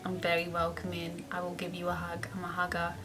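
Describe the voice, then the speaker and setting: A woman's voice: fairly low pitch, completely flat delivery, fairly quick, music in the background. Chatty upbeat young woman vlogger. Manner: chatty, upbeat, laughs often. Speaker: vlogger answering viewer questions. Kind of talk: casual Q&A vlog.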